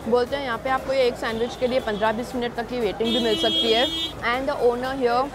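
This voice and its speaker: A woman's voice: a little high, flat delivery, fairly quick, over loud music. A young woman, reviewing street food in a casual vlog, chatting while she eats, recorded on a lapel mic in busy food shops. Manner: chatty, mixing Hindi and English, tasting on camera. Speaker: food vlogger.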